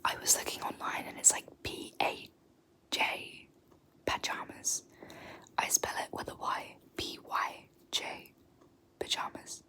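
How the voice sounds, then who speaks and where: A young woman whispering softly and slowly repeating words for an ASMR tag video. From South Africa. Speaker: YouTuber.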